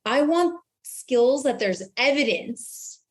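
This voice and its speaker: A woman's voice: high voice, expressive, takes it slowly. An American woman speaking as an interview guest and branding coach, explaining emphatically and posing rhetorical questions.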